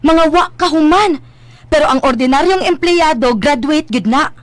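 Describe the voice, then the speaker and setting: An actress with a Cebuano Filipino accent playing an ambitious, playful young daughter in a radio drama, chatty, teasing and insistent. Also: female voice, high-pitched, normal speed, no room sound, natural voice. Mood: earnest, confident.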